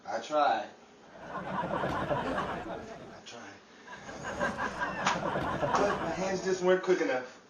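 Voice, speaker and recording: A man's voice: fairly high pitch, lively intonation, extremely slow, long pauses. A man playing a brash, bantering New York fight trainer in a 1930s radio comedy, with exaggerated comic patter. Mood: brisk banter. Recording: echoey room, distant mic, background noise.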